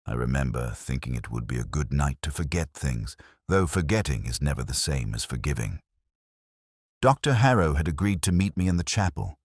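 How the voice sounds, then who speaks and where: A man reading a scripted first-person detective story in a measured, reflective, literary manner. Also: very deep voice, sing-song, husky, no echo, studio quality. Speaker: narrator.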